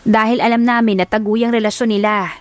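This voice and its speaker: A woman's voice: on the high side, little pitch movement, a little fast, no room sound, lightly acted. Gossipy female neighbour, acted by a narrator woman, plain-spoken, protective, chatty, audiobook drama with music behind. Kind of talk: audiobook character acting.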